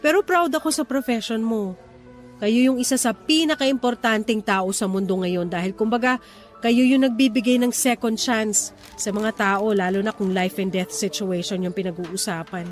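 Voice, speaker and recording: Actress doing filipina young mom in radio drama, kinda high voice, stubborn n protective, soft music behind. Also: female voice, moderate pace, lightly acted. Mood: earnest. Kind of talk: radio-style romance drama acting in dialogue. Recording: music under the voice.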